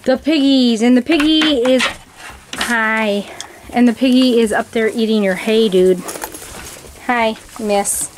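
A woman's voice: fairly high pitch, slow pace. A woman chatting casually from behind the camera on an outdoor vlog, often talking to animals. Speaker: camera operator and co-host. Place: outdoors on a farm. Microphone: close behind handheld camera. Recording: noisy outdoor camcorder audio.

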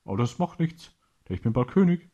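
A gloating, half-mad pirate villain acted out by a male storyteller in a children's tale. The voice jumps up high and theatrical, with a husky edge. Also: very animated, a little fast, mellow, dull audio. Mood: gloating, triumphant. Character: crazed, gloating male pirate usurper, the king's cousin.

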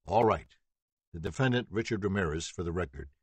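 A man voicing a steady male prosecutor questioning a witness in a measured, businesslike way. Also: low-pitched, husky, dry recording.

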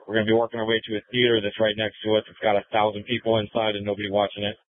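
American male officer, quick and flat in pitch, informal field report on a police radio. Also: dry recording. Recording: narrow, tinny police scanner radio.

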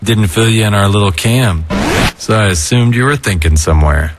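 Man playing a deep, laid-back skeleton. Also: moderate pace, background noise, lightly acted. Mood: weary, guarded. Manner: low-key, drawling, reflective. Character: weary, laid-back adult male skeleton, brooding and kind. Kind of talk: animated comic dub acting.

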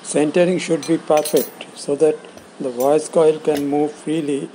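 A man with a fairly high, mellow voice narrates an instructional script. He explains things in short, measured phrases, as an instructor would. There is faint noise in the recording. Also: level tone, poor audio. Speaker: instructor presenting components.

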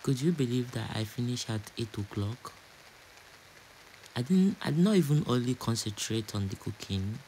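A Nigerian-accented man talks through home cooking in a casual, chatty way, often repeating his phrases. His voice sits at an average pitch, with noticeable rain-like noise behind it. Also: expressive, moderate pace, mellow, low-quality recording. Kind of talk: casual cooking vlog narration.

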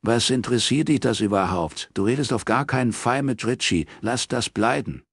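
A man's voice: mid-range voice, expressive, normal speed, vocal fry, dry recording, clean studio sound, pitched above the performer's own voice. A man voicing a brusque, foul-mouthed London crook in an audiobook, blunt and impatient. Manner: coarse, blunt, slangy.

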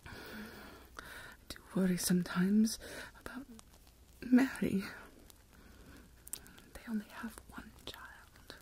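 A woman's voice: a little high, slow, pauses often, a little mellow, husky, exaggerated voice, low-quality recording. A woman playing a fretful, melodramatic older Regency mother in a whispered ASMR roleplay, self-pitying and full of dramatic sighs, close to the mic. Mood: wistful, scheming. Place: indoors, lying in bed.

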